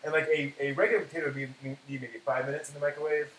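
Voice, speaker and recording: A man's voice: fairly high pitch, flat delivery, rapid-fire, a bit bright. A man hosting a casual live cooking demonstration, chatting and explaining each step as he works. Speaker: cooking live-stream host. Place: indoor home kitchen. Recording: echoey room with clear background noise.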